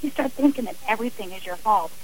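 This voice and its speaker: A woman's voice: mid-range voice, quick pace, a little mellow, poor audio. A woman speaking as an interview guest on radio, earnest and emphatic, explaining with examples and repeated phrasing. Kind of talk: radio interview, personal and advisory talk.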